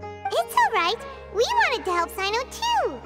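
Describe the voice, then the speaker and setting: Little fairy girl, extremely high, squeaky, very swoopy, childlike, game dialogue. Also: wildly swooping pitch, unhurried, full character voice. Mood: reassuring. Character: tiny, cheerful, childlike female fairy companion. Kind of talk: scripted video game dialogue. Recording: music behind the voice.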